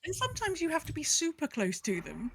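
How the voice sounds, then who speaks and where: Higher-pitched woman chatting on a podcast. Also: huge pitch swings, fairly quick. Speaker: podcast co-host. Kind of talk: casual chat about a video game.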